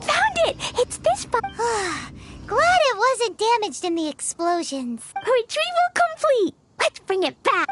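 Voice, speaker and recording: A woman's voice: very high-pitched, big pitch swings, takes it slowly, very noisy, full character voice. An actress voicing a cheerful, rash little girl in an anime-style game, childlike, bubbly and earnest. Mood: pleased. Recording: music behind the voice. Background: game music.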